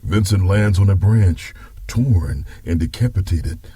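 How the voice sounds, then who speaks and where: A male narrator reads a dramatic scripted story in a low, very husky voice. He takes his time and varies his pitch widely from phrase to phrase. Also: lively intonation, a little slow, dark tone, no echo.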